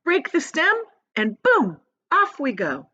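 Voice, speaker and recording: Woman voicing a gentle lady ladybug, animated, reassuring, eager explainer, storybook character, slightly muffled recording. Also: high voice, takes it slowly, pitched above the performer's own voice, exaggerated voice. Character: kind, gentle, confident female ladybug in a storybook. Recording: dull, lo-fi sound.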